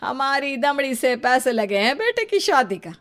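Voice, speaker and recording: Woman playing older mother-in-law, blunt, scolding, exasperated, highish voice, comic story. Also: very animated, measured pace, fairly bright tone, heavily acted. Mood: scornful. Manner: scolding, plain-spoken.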